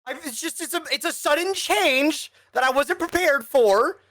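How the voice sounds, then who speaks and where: A podcast co-host chatting casually about films, halting and easily flustered. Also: high voice, sharp tone, no room sound, studio quality. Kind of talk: casual chat reviewing films on a livestream podcast.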